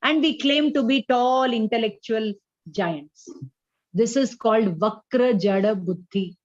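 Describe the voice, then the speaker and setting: Indian lady teaching a spiritual class, kinda high voice, explains carefully, dry close sound. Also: darkish tone, no echo, lo-fi sound. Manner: didactic, deliberate, checks understanding with questions. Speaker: religious teacher.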